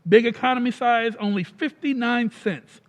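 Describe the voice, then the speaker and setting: Very high-voiced man, reverberant vintage radio pitchman. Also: normal speed. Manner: emphatic, formal sales delivery. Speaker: commercial announcer. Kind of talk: 1940s radio advertisement reading. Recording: dull, long-reverb recording.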